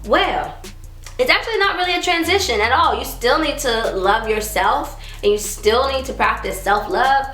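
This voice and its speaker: A woman's voice: high voice, lively intonation, moderate pace. Chatty giggly woman in her twenties. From Texas. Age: 20s. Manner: chatty, giggly, drops into a soft mumble. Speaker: YouTuber answering viewer questions. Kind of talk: casual chat. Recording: roomy echo with faint music.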